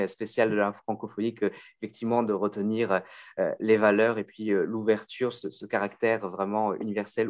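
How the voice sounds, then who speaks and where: A man hosting a radio interview, courteous and thanking his guest, with frequent fillers. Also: fairly low pitch. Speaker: radio host.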